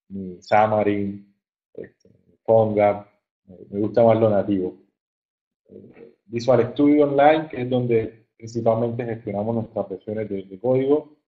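A man with a fairly low voice and a coastal Colombian accent gives an informal online presentation. He speaks haltingly, often repeating words as he looks for the next phrase. The audio is muffled and narrow, like a phone call. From Caribbean coast of Colombia. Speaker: webinar presenter, software engineer. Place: online webinar.

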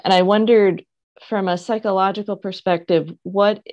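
A middle-aged woman moderating a panel over a video call, speaking in halting, thoughtful phrases from her home office. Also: mid-range voice, fairly even intonation, darkish tone. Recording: dull muffled video call audio.

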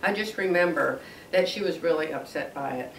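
A woman's voice: low-pitched, fairly quick, slight background noise. A woman in her sixties giving a casual devotional talk, a little wheezy from allergies, chatty and laughing often. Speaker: devotional host. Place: room with a quilt backdrop. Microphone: camera at a distance, standing at a lectern. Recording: roomy and distant.

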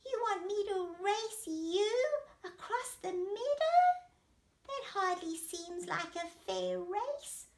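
A woman's voice: very high-pitched, animated, takes it slowly, gravelly, reverberant, voice pushed up, exaggerated voice. A woman voicing a small, timid but clever snail in a children's story, meek and hesitant. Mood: meek, doubtful.

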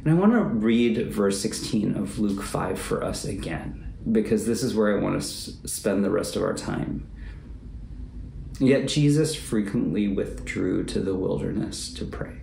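A man in his forties preaching a reflective sermon as a pastor, speaking in a measured, deliberate way and repeating phrases for emphasis. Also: fairly low pitch, animated, darkish tone. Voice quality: gravelly, creaky. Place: book-lined home office or study, indoors. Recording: roomy echo, faint background noise.

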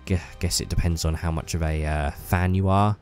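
A man's voice: sits low, steady tone, moderate pace, darkish tone, soft background music. A man with a cold, sounding a bit bunged up, chatting casually and enthusiastically to his channel viewers, rambling with fillers. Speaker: YouTuber. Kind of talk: casual hobby vlog talk.

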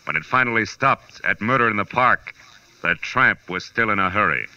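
An actor playing a wry, tough but kind private detective in 1940s radio drama, clipped, slangy and sardonic. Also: male voice, lowish voice, lively intonation, normal speed, heavy background noise. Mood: punchy. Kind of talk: 1940s radio drama acting, hard-boiled first-person narration. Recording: dull 1940s radio recording, faint music.

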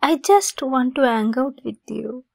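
A woman voicing an affectionate, playful young female best friend secretly in love, in a soft, halting ASMR roleplay. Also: sits high, vocal fry, no room sound, full character voice. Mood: shy, affectionate. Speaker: audio roleplay voice actress.

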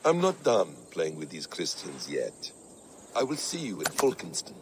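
A sly assassin from a video game. He has a low voice with lots of movement in it, deliberate and guarded with quiet menace. Clear ambient noise sits behind him. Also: wildly swooping pitch, heavily acted. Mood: cool, sly. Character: cool, sly, menacing male assassin in his prime. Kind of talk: video game cutscene acting.

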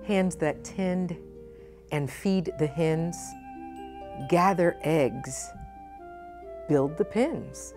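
A middle-aged woman presenting a children's storytime, speaking expressively and with deliberate phrasing for young listeners. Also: deep voice, darkish tone, faint music behind. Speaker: guest storytime reader, nonprofit president. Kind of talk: children's picture book read-aloud. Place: indoor storytime set at a library.

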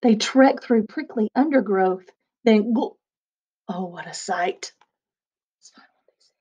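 A woman's voice: medium pitch, unhurried, mellow, slightly rough. A woman in her forties, a second-grade teacher, reading a picture book aloud with playful, chatty asides to children from a small attic room at home. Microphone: webcam at desk.

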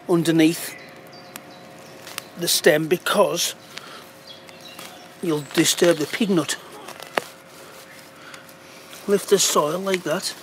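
Unhurried man foraging outdoors, slightly high voice. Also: a little hiss or hum. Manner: plain, unhurried, pointing things out as he digs. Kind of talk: casual walk-and-talk foraging demo. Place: outdoors in woodland.

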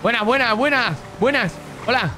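A young-sounding, high-voiced man with an Argentine accent plays an online roleplay game. His voice is bright and swings widely, and he talks in slangy, repetitive, excitable bursts. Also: normal speed, soft background music. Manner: excitable, slangy, repeats phrases. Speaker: gamer playing a roleplay character. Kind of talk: casual in-game banter with other players.

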